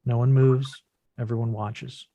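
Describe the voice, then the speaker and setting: A man narrating scripted screen action in measured, deliberate short phrases as the reader of stage directions. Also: mid-range voice, flat delivery, dark tone, no echo.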